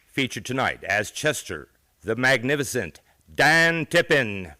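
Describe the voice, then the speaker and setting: A man's voice: mid-range voice, very animated, unhurried, sharp tone, some chatter behind, a little hiss or hum. A man announcing in scripted old-time radio style, with grandiose, theatrical showmanship. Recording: live theater show with music.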